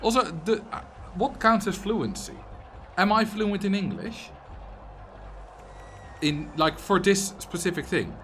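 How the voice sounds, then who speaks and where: A man's voice: sits high, expressive, unhurried, long pauses, fairly bright tone, slight background noise. A man with a Dutch accent, a streamer chatting casually and answering chat messages while he plays a game. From Netherlands.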